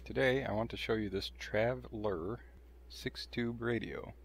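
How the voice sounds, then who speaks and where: A man hosts a hands-on demonstration in a deep voice with a creaky, gravelly edge. His delivery is dry and matter-of-fact, explaining each step plainly. A faint layer of background noise sits under the voice. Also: measured pace. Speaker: video host showing a restored vintage radio. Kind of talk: how-to demonstration narration.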